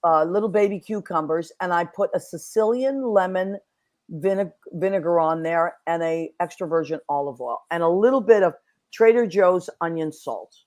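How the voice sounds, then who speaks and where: A woman's voice: lowish voice, moderate pace, darkish tone. Bubbly New woman hosting. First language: Italian. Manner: bubbly, effusive. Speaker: host. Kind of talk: casual interview chat.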